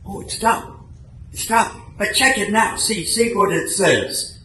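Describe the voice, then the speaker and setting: A man's voice: high-pitched, measured pace, sharp tone. A man playing a concerned, solicitous office coworker in a radio play, eager to help. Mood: startled concern. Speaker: amateur radio drama actor. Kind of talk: live radio play reading. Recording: echoey room, faint background noise.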